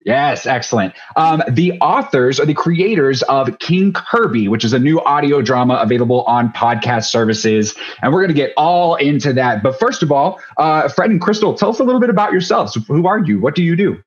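A man's voice: fairly high pitch, expressive, normal speed, few pauses, dull audio. A man, hosting a casual interview over a video call. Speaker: host. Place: small home room, video call.

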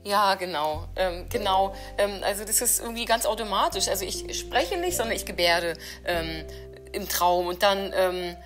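A woman answering interview questions in a relaxed, hesitant way over a video call. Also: medium pitch, moderate pace, faint music behind.

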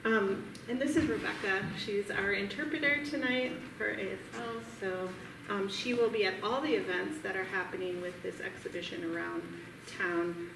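Gravelly woman host welcoming a gallery crowd, recorded far off in an echoey hall. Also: medium pitch, fairly even intonation, moderate pace. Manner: friendly, thanking people, inviting guests up. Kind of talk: event welcome. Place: large gallery hall, indoors. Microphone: distant camera mic. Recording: echoey room from far off, background noise.

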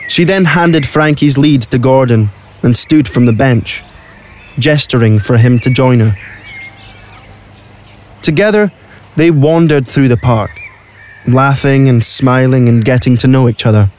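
A man's voice: mid-range voice, unhurried, long pauses, a little mellow, slight background noise. A man narrating a scripted story for an audio play.

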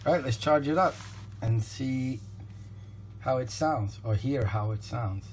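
Male reviewer, mid-pitched, casual and tentative, talking through a gadget indoors over noticeable background noise. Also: measured pace, darkish tone. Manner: tentative, thinking aloud. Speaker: product reviewer. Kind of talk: casual unboxing commentary. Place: indoors, at a kitchen counter. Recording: clearly noisy home recording.

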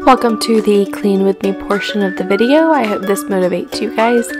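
A young woman giving a steady, scripted voice-over narration of her cleaning routine. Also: medium pitch, normal speed. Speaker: lifestyle vlogger. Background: background music.

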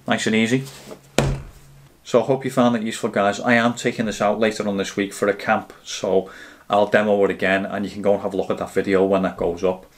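A man reviews gear in a casual, explanatory way. His voice is fairly low and keeps to a very even pitch. There is faint noise behind him as he talks straight to the camera. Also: a little fast. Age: 30s to 40s. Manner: matter-of-fact, explanatory.

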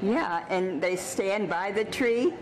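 A woman's voice: medium pitch, slow, a crowd in the background. A woman hosting a TV show, interviewing guests at an event in an enthusiastic, chatty way. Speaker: TV host, interviewer. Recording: noisy outdoor and party ambience.

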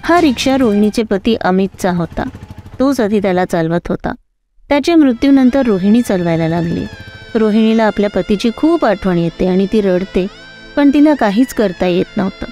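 A woman reading a scripted moral story in a plain, steady way. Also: mid-range voice, moderate pace, soft background music. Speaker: narrator.

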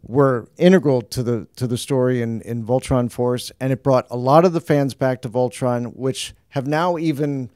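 A man's voice: medium pitch, steady tone, normal speed, few pauses, darkish tone, no echo, clean studio sound. A man hosting a fan interview at a convention, speaking enthusiastically and appreciatively into a handheld mic in a small room. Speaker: interviewer, show host.

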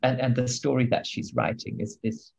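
A man, the book's translator, speaking in a measured, analytical way about the novel. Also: mid-range voice, level tone, creaky, a little mellow, highs cut off. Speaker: translator guest. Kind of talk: thoughtful discussion.

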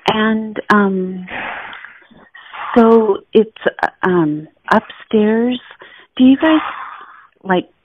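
A woman calling as a customer, speaking haltingly and hesitantly over the phone. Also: medium pitch. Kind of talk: phone enquiry. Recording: tinny narrow phone line.

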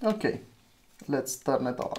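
A man's voice: medium pitch, lively intonation, a little slow, darkish tone, slight background noise. Chatty man reviewing an old phone. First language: Romanian. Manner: chatty, thinks aloud, laughs at own slips. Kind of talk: casual hands-on review.

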